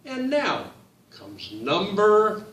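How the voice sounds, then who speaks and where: A man reading his picture book aloud to children, in a slow, sing-song storytime voice that speaks to them directly. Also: sits high, huge pitch swings, a bit bright, echoey room, recorded from a distance.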